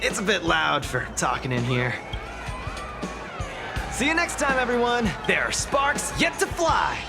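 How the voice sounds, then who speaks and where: Lively, cocky young fighting champion. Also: male voice, high-pitched, unhurried, gravelly, exaggerated voice. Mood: rousing. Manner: brash, casual, enthusiastic. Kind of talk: video game voice acting. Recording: background music under the voice.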